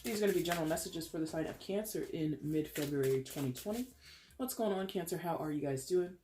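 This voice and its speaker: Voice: medium pitch, lively intonation, fast talker, mellow, a little hiss or hum. Congested tarot reader with a head cold. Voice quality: dry throat, clears it often. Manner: chatty, rambling, self-correcting with small laughs. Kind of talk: casual tarot reading to camera.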